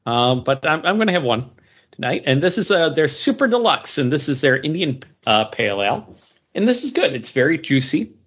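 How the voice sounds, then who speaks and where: A man's voice: a little high, sing-song, brisk, fairly bright tone, slightly rough. American man in slightly dull audio. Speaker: podcast host. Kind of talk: casual podcast chat.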